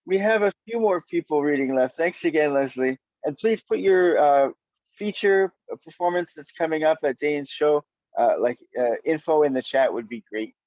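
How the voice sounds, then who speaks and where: A man hosting an online open mic casually and appreciatively, in halting, hesitant phrases, heard over dull call audio. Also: fairly high pitch, a little mellow, dry recording. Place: online video event.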